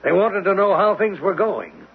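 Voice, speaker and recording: An actor playing an impatient, sarcastic, overbearing drilling boss in a radio drama, curt and commanding. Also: male voice, sits high, very animated, normal speed, gravelly, slightly rough, phone-quality sound, heavily acted. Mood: irritated, sarcastic. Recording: thin, noisy vintage radio recording.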